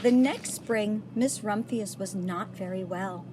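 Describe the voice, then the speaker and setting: A woman reading a children's picture book aloud, pausing to point things out to young listeners. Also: mid-range voice, very noisy. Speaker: storybook reader.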